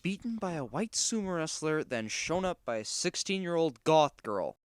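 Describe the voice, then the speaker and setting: A man's voice: a little high, very animated, moderate pace. A man voicing a clueless, whiny young superhero team leader, easily exasperated, in a cartoon parody dub.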